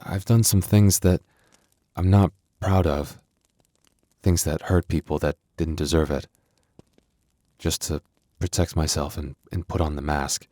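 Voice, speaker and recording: A man voicing a gentle young male werewolf boyfriend in an intimate ASMR roleplay, speaking softly and earnestly with hesitant stammers. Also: very deep voice, steady tone, unhurried, dry recording. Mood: regretful, vulnerable.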